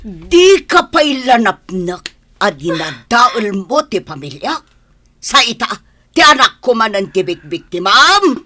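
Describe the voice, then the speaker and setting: A woman's voice: on the high side, big pitch swings, slow pace, pushed and bright, heavily acted. A woman with a Filipino accent playing a middle-aged mother in a radio drama, affectionate with her son and fierce when outraged, speaking emphatically. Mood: outraged, scornful. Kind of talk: Ilocano radio drama acting.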